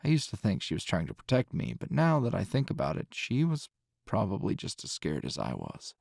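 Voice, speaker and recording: A man playing a tender, teasing hero boyfriend in an intimate ASMR roleplay, soft-spoken with a husky, breathy voice and unhurried pauses. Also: on the low side, huge pitch swings, no echo, clean studio sound, full character voice. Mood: wry, reminiscing. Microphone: close, dry microphone.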